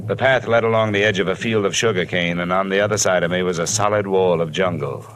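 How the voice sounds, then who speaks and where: Hard-boiled detective, lowish voice, sardonic, clipped, vintage radio storytelling, prominent music behind. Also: male voice, steady tone, fast talker, fairly bright tone, low-quality recording. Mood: tense narration. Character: wry, hard-boiled male insurance investigator narrating his case. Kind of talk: old-time radio detective narration and dialogue.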